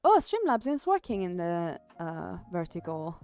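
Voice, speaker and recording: A woman's voice: on the low side, big pitch swings, measured pace, dark tone, clear, resonant tone. A woman with an Italian accent, chatting casually on a live art stream, reading chat aloud and trailing off with fillers. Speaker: artist streamer.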